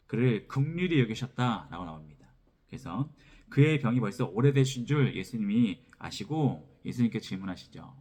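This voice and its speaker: A man's voice: on the low side, a little mellow. A man preaching an expository sermon as a pastor, repeating phrases as he explains, his throat sore from a cold. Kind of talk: expository sermon with scripture reading.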